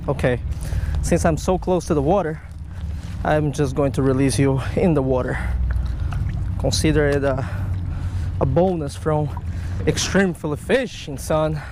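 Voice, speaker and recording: A man giving casual, excitable running commentary while fishing outdoors at a creek, talking to the fish and his viewers, recorded on a chest-mounted action camera. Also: on the high side, a little slow, long pauses, noisy background. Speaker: fishing vlogger. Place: outdoors by a creek under a stone bridge.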